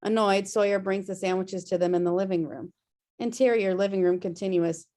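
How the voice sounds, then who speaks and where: A woman's voice: mid-range voice, steady tone, fairly quick, mellow. A woman reading screenplay stage directions as scripted narration on a video-call table read.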